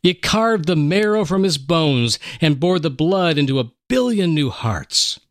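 A middle-aged man reading a dramatic story in a slow, solemn way, his voice sinking low at the end of lines. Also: lively intonation, dry recording, studio quality. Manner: slow and solemn, drops low at line ends. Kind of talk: dramatic scripted narration. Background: soft music.

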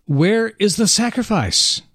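A man's voice: high voice, lively intonation, measured pace, a bit bright, no echo, clean studio sound, voice pushed up, heavily acted. A man voicing an earnest, devoted young son in a dramatic reading, pleading with his father.

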